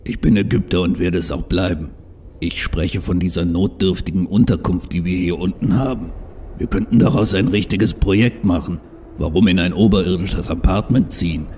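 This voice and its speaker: Casting: male actor as proud, stubborn troll visionary, deep and mellow, earnest and bitter, for audio drama. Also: normal speed, a little hiss or hum, close to an everyday voice. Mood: determined. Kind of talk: fan audio drama acting.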